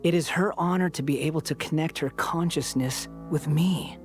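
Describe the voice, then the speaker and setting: A man's voice: on the high side, moderate pace, close to an everyday voice. An arrogant young male game villain, acted by a man speaks as if already divine. His delivery is smug, mocking and theatrical, over a bed of music. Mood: smug taunting. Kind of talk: dramatic video game acting. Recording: music behind the voice.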